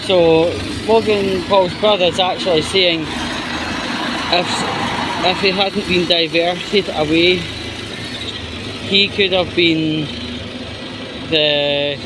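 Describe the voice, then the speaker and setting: A man giving casual opinion commentary in a halting, rambling, disapproving way. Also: high voice, noisy background. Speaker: commentary YouTuber.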